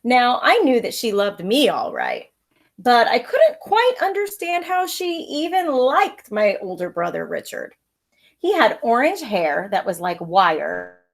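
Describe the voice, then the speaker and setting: A woman teacher reading a children's story aloud with expressive narration, recorded at home. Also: on the high side.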